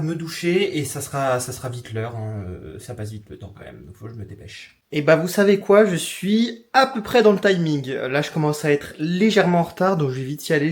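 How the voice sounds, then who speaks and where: A young man talking casually to camera in a vlog, rambling with lots of fillers and restarts. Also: on the high side. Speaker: vlogger.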